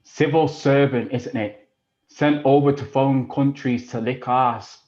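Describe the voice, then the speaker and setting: A man plays a foul-mouthed working-class youth, sneering and quick to threaten. He talks at an ordinary pitch, and the recording is a touch muffled. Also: fairly even intonation, darkish tone, close to an everyday voice. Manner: swearing, menacing, mocking. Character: rough working-class British boy, aggressive, crude, defensive. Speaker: actor in a stage play. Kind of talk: audio drama acting.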